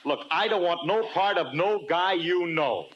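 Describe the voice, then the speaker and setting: An actor playing an excitable, brash bandleader husband with slangy, ungrammatical speech, in old-time radio comedy style. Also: male voice, high voice, measured pace, dull audio, heavy background noise. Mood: indignant. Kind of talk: 1940s-50s radio comedy acting.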